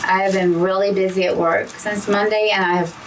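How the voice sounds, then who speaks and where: A hoarse woman, her voice worn from talking, chats casually as co-host and rambles through restarts and asides. She sits a little back from the microphone, and a faint hiss runs beneath her. Her pitch sits in a middle range. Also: steady tone, a little fast, recorded from a distance, poor audio. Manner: rambling, with frequent restarts and "you know". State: hoarse, losing her voice from talking too much. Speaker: co-host of a diamond painting channel. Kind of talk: casual chat.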